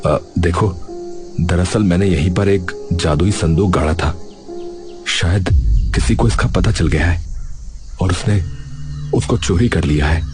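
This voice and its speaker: A man acts an earnest villager in a moral tale, his voice low and his delivery dramatic and colloquial. Music plays clearly behind him. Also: measured pace, pauses often. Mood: earnest, worried. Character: earnest adult village men, friends sharing secrets. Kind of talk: Hindi moral story voice acting.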